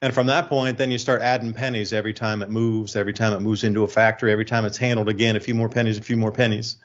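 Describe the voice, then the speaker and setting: A man's voice: lowish voice, little pitch movement, racing, lo-fi sound. A man hosting a radio show with informal, off-the-cuff commentary.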